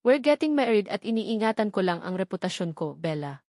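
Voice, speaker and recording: A woman's voice: medium pitch, very animated, brisk, a little mellow, no room sound, clean studio sound. A woman voicing a serious, jealous male fiancé in a romance audio novel, stern and demanding. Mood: stern, reproachful.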